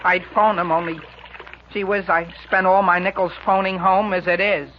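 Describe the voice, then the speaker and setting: A man's voice: high-pitched, flat delivery, normal speed, lightly acted. A man playing an earnest, apologetic teenage boy with a youthful, cracking voice in a 1940s radio comedy. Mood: eager, flustered. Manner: earnest, exclaiming. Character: eager, apologetic teenage boy, the hapless son. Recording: dull, noisy 1940s radio recording.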